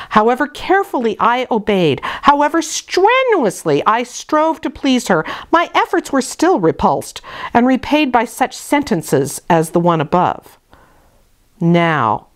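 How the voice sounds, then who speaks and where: A woman reading an audiobook of a classic novel in a measured, dramatic storytelling manner. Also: lowish voice. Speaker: audiobook reader. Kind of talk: expressive literary narration.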